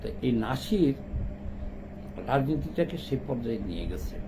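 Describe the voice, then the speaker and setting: A man's voice: mid-range voice, big pitch swings, normal speed, background noise. A middle-aged man with a Bangladeshi accent delivers unscripted, opinionated political commentary, scolding and repeating phrases for emphasis.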